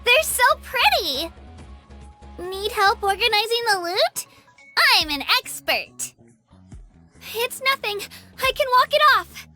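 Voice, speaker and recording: Earnest, very high-pitched young girl adventurer. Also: pushed and bright, heavily acted. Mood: strained, determined. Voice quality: girlish. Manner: earnest, sincere, easily flustered. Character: earnest, eager young girl adventurer who loves shiny rocks. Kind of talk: video game character voice lines.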